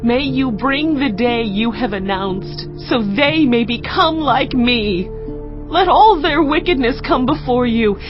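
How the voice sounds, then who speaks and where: A woman playing a grieving, desolate fallen city in a dramatized Bible reading, lamenting with slow, solemn sorrow. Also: mid-range voice, lightly acted. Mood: bitter, pleading. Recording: muffled, loud music behind.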